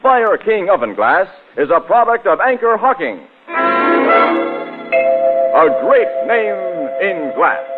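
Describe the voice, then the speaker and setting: Male announcer for vintage radio adverts, high animated pitch, polished sales delivery, thin old broadcast sound. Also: takes it slowly, long pauses, narrow, tinny audio, over music. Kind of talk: scripted 1940s radio advertisement.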